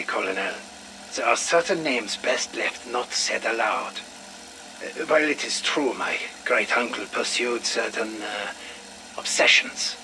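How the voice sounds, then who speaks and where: A formal creepy doctor in a game log, guarded and cryptic, really sharp tone, faint noise. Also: male voice, mid-range voice, low-quality recording. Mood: warning. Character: guarded, formal, ominous male doctor hiding secrets. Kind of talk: video game audio log acting.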